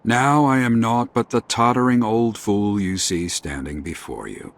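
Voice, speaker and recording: An actor playing a wise, self-deprecating old former hero in a video game, speaking in formal, archaic, measured diction. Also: male voice, lowish voice, gravelly. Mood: wistful. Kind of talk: scripted video game acting. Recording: music behind the voice.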